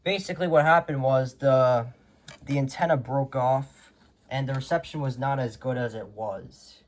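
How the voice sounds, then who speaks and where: A man talking casually and off the cuff as a vlogger showing his collection at home, in a low-key, offhand way. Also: normal speed. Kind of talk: casual unscripted show-and-tell. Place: indoors, rooms at home.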